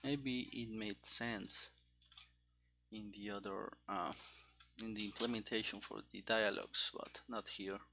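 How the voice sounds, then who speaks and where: A man thinking aloud in a casual, halting mutter as he programs, talking partly to himself. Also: on the low side, steady tone, gravelly, background noise. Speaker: programmer narrating live coding. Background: computer keyboard typing and clicking.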